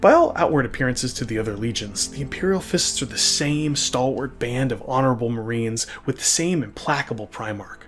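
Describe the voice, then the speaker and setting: A man's voice: mid-range voice, expressive, brisk, hardly any pauses, fairly bright tone. A man hosting and narrating a fan lore video, mixing scripted storytelling with casual, emphatic, wry asides. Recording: music behind the voice. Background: background music.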